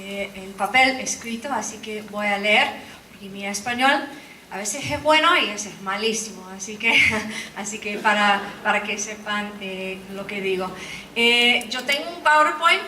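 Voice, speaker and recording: A woman reads a conference paper in a careful, measured way, her showing through. Her voice sits fairly high, and she sounds far from the mic. A large hall echoes around her. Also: pushed and bright, slight background noise. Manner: careful, measured reading with hesitations. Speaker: conference speaker. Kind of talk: academic talk read from a script.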